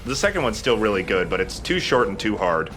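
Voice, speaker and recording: A man with a forceful, somewhat low voice gives casual gaming commentary. His pitch moves around a lot and his joking is irreverent and profane. Faint game noise sits behind him. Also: big pitch swings, a little fast. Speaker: let's play host.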